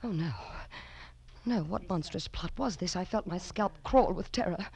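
A woman's voice: lowish voice, normal speed, vocal fry, darkish tone, husky. An actress playing an anxious young woman traveller in a 1940s radio drama, dramatic and urgent. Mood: dread. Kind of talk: 1940s radio drama, first-person narration and dialogue. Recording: old 1940s radio broadcast with music.

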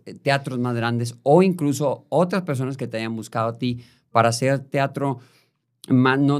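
A man hosts a conversational podcast, listening closely and chiming in with quick agreements. His questions come out loosely, with pauses and restarts. His pitch is mid-range. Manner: attentive, lots of short agreeing replies. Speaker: podcast host. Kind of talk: casual interview.

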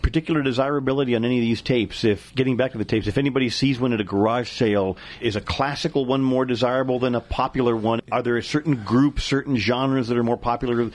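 A man's voice: medium pitch, fairly even intonation, quick pace, hardly any pauses. A man hosting a casual talk show in a courteous, affirming manner. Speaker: radio show host.

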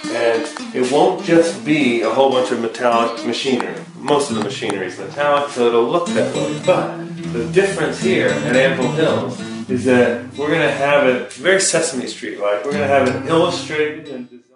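A man's voice: mid-range voice, normal speed, music in the background. A man talking informally to camera in a promotional home video, explaining his ice cream business in roomy-sounding audio. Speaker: ice cream shop founder.

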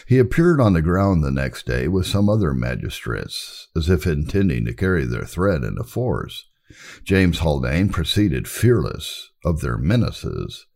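Male narrator for religious history audiobook: extremely low, creaky voice, formal delivery, lively intonation. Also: unhurried. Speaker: narrator reading church history.

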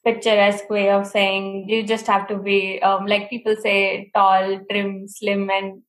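A woman interviewing a guest in a relaxed, easygoing way. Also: medium pitch, measured pace, echoey room, rough recording. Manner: easygoing, laughs along. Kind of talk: casual interview.

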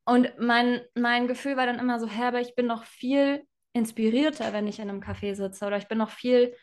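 A woman's voice: a little high, fairly even intonation, a little fast. Reflective young woman, chatty guest. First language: bilingual German and Spanish. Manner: reflective, many fillers, laughs often. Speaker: guest, self-employed in personal branding. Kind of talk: casual interview conversation.

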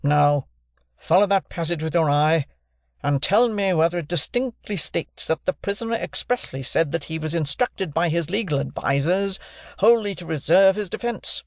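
A man voicing a bullying, sarcastic gentleman who cross-examines in a hectoring, authoritative manner for an audiobook. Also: fairly high pitch, normal speed, gravelly, dry recording, studio quality, pitched above the performer's own voice. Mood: impatient. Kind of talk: classic novel narration, character voice.

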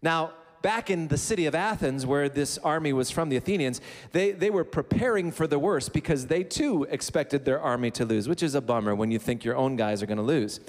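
Guy pastor giving a sermon, kinda higher voice, chatty with jokes, big echoey church hall. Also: brisk. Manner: conversational preaching with asides and humor. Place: church sanctuary. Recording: long reverb of a church hall.

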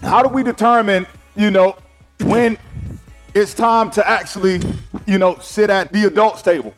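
Male host with a high voice; hype, casual, slang-heavy delivery; soft music bed. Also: normal speed. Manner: energetic, hype, slangy banter. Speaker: podcast host. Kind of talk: casual chat.

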